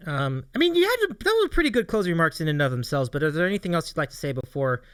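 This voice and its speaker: A man's voice: a little high, very animated, racing, smooth, no room sound, clean studio sound. A man hosting a livestream interview show, chatting casually in an appreciative, encouraging way. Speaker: host of a streaming interview show.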